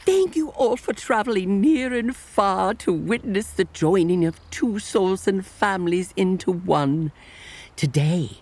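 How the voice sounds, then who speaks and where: An actor voicing a kindly yokai animal officiant in a motion comic, speaking in a measured, reverent, gentle storytelling way. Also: medium pitch. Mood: ceremonial. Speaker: officiant and narrator. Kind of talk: scripted ceremonial storytelling. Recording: music playing behind the voice.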